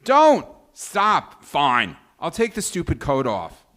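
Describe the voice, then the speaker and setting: A man's voice: fairly high pitch, wildly swooping pitch, takes it slowly, a bit bright, heavily acted. A man playing a defensive, exasperated woman in a live sitcom table read.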